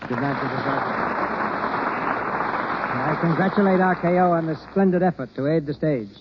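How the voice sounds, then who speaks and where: A man's voice: on the high side, normal speed, over a noisy crowd, heavy background noise. A man hosting and narrating a 1930s radio play in a formal, theatrical manner. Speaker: host and narrator. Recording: dull old radio broadcast. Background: loud music.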